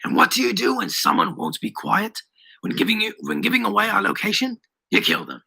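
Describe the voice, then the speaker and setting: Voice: medium pitch, wildly swooping pitch, fairly quick, gravelly, bright, forceful tone, breathy edge, exaggerated voice. A storyteller voicing a bluffing, reckless and coolly ruthless pirate man in an adventure story. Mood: cold, defensive.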